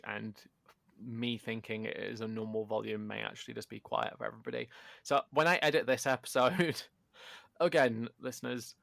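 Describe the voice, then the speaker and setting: A man with a gravelly, fry-edged voice co-hosting a casual podcast. He talks loudly and pokes fun at himself. The sound is close and dry. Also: medium pitch, no echo. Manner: loud, self-deprecating, chatty. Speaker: podcast co-host. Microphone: home podcast microphone.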